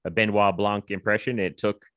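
A man with an American accent and a fairly low voice, recorded close and dry. He hosts a podcast in a loose, jokey, rambling style of casual conversation. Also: fairly even intonation, moderate pace, fairly bright tone, clear, resonant tone, no echo, dull audio. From Virginia, US. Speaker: podcast host. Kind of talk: casual chat.

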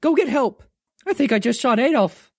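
A woman's voice: medium pitch, very animated, normal speed, darkish tone, husky, no echo, clean studio sound. A woman voicing a big, earnest, hot-tempered boy in a story reading. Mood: panicked.

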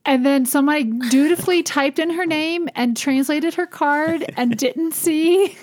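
High-voiced woman co-hosting a casual podcast. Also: unhurried. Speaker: podcast co-host. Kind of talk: warm casual podcast chat.

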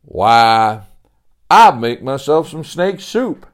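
A man's voice: mid-range voice, very animated, slow pace, pitched above the performer's own voice. A man voicing Sebastian, a folksy, hearty older drifter who drawls and opens his lines with "why".

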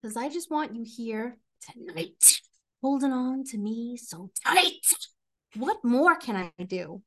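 A woman's voice: on the high side, lively intonation, takes it slowly, bright, forceful tone. A woman doing playful, theatrical dramatic readings with an exaggerated, melodramatic delivery as a podcast co-host.

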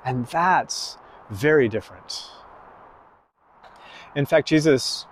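A man preaching a sermon slowly and emphatically, pausing between phrases. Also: medium pitch, a little hiss or hum. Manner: slow, emphatic preaching with long pauses.

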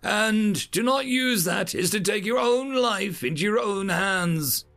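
Calm alien academy instructor, played by a male narrator, raised pitch, didactic and measured, sci-fi audiobook dialogue. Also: vocal fry, soft background music, heavily acted. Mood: calm lecturing. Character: calm, lecturing alien military educator addressing cadets.

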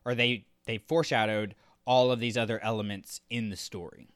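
A man co-hosting a podcast, talking casually about books in a halting, thinking-aloud way. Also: on the low side, fairly even intonation, noisy background. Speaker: podcast co-host reviewing books. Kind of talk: casual discussion and commentary.

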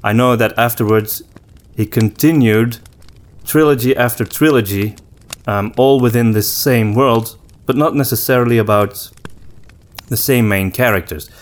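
Guy on a podcast just chatting, average pitch, kinda halting, repeats words as he goes. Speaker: podcast co-host and story writer. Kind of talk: casual conversational discussion.

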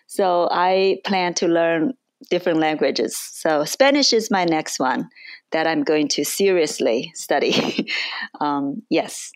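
A woman's voice: sits low, no room sound. A woman in her forties with a Chinese accent, answering interview questions in a thoughtful way, with frequent fillers and restarts. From China.

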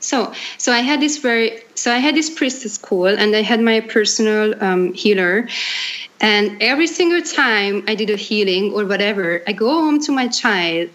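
A woman in her thirties whose first language is Hungarian, speaking English in a relaxed conversation and often hesitating and restarting her sentences. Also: mid-range voice. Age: 30s. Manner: hesitant, many restarts and fillers. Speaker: guest. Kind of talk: casual conversation. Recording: dull lo-fi sound.

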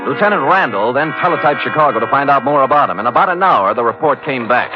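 An American actor playing a wry, tough private detective in a deadpan 1940s radio drama style. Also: male voice, mid-range voice, fast talker, lightly acted. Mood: dry narrating. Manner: deadpan, hard-boiled. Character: wry, tough, unflappable private detective narrating his case. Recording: muffled old radio recording with music.

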